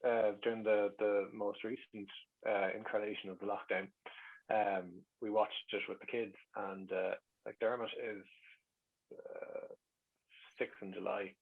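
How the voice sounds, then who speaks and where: Irish guy chatting, husky rough voice, stumbles into his stories, dull lo-fi sound. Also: lowish voice, little pitch movement, measured pace. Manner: hesitant starts, repeats words. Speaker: interview guest. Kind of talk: anecdotal casual chat. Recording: muffled, lo-fi voice track.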